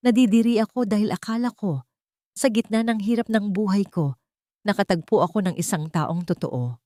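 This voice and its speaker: A woman's voice: medium pitch, moderate pace, dark tone, no echo, studio quality, natural voice. A woman playing a bitter, resolute young mother-to-be in an emotional audio drama. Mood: bitter, disgusted.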